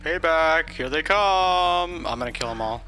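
A man's voice: a little high, lively intonation, unhurried, music in the background. A man reacting live to a video game, jumpy and exclaiming, often panicky and rambling. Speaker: gameplay streamer.

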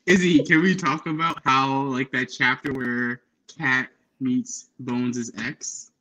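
A man's voice: medium pitch, fairly even intonation, takes it slowly, pushed and bright, poor audio. A young man hosting a casual book chat on a video call, speaking informally and enthusiastically with slangy praise. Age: young adult. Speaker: book livestream host. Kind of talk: casual chat about books. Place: indoor room at home, on a video call. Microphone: headphones on, video call.

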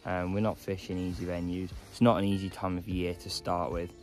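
A young man in his twenties filming himself on a phone by a lake, talking casually to camera in a low-key, resigned way with plenty of "um"s. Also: flat delivery, brisk, a little mellow, faint music behind. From England. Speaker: carp fishing vlogger. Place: outdoors by a lake. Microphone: phone camera held at arm's length.

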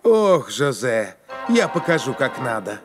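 A man's voice: mid-range voice, big pitch swings, a little slow. A man voicing a friendly, patient adult helper in a children's cartoon, talking in an encouraging, explanatory way. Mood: patient, coaxing. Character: friendly helpful adult male cartoon narrator, patient companion. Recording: music behind the voice.

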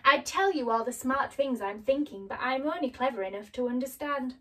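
A woman's voice: sits high, animated, brisk, sharp tone. A simple, good-hearted scarecrow drawls his words in a raised voice, as a woman storyteller puts him on. He sounds a little distant from the microphone. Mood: delighted. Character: delighted male scarecrow, simple and sweet.

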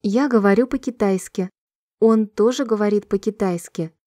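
A woman reading short sentences slowly and clearly for a language-listening drill, repeating each one in a measured, even way. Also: mid-range voice, dry recording, studio quality. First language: Russian. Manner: clear, measured, evenly paced repetition. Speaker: Russian sentence reader.

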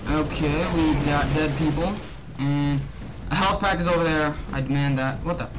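A young man giving casual, excitable, grumbling let's play commentary on a video game. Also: a little high, normal speed, over music, poor audio. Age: teens or young adult. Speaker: gaming video host.

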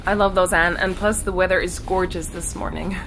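A woman's voice: fairly even intonation, fairly quick, close to an everyday voice. A woman playing an easygoing, supportive friend in casual, naturalistic audio drama dialogue. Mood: cheerful. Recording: clear rumbling background noise.

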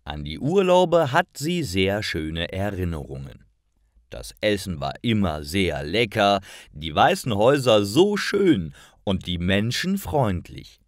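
A man reading scripted stories for language learners with careful, deliberate enunciation. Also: fairly low pitch, very animated, no room sound, studio quality. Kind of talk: scripted story narration for learners.

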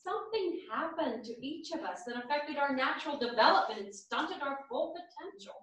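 A woman's voice: high voice, expressive, moderate pace, fairly bright tone. A woman playing a dutiful, bitter perfectionist in a staged play reading, recorded at a distance in a theatre. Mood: reflective. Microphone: far camera. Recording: echoey theatre, far from the microphone.

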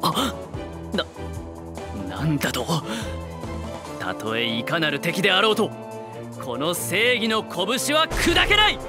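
A man's voice: high-pitched, big pitch swings, slow pace, pauses often, heavily acted. A man playing a kindly, easily flustered young man who talks politely and dramatically in a comedic voice anime. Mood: flustered, dramatic. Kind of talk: Japanese voice-anime comedy acting. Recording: music bed behind the voice.

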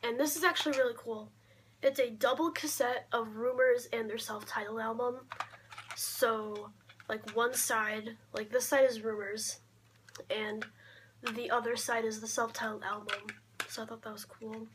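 A young woman talking casually in a low-key show-and-tell vlog, often trailing off, recorded on a camera mic in a bedroom. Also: fairly even intonation, unhurried, a bit bright. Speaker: vlogger showing a collection. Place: small cluttered bedroom, indoors. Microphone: camera mic at arm's length. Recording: clear background noise, handling clicks.

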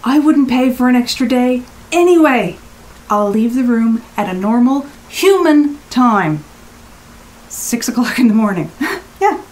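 An actress playing a blunt, exasperated rental guest in an audio drama, with emphatic, clipped phrases. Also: female voice, on the high side, expressive, unhurried, a little mellow, poor audio. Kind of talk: naturalistic audio drama acting.

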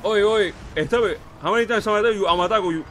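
A high-pitched man plays a cop in a roleplay game. He talks quickly and barks the same orders over and over, dropping exclamations between English lines. A faint hum sits behind him. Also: fairly bright tone. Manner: barks commands, mixes Spanish into English, repeats himself. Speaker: police officer in a roleplay game. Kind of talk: in-character roleplay.

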